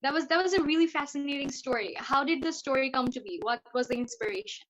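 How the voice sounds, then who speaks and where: A woman's voice: high-pitched, lively intonation, fast, dull audio. A woman hosting an online panel interview, speaking in a friendly way with many fillers and small apologies. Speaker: host.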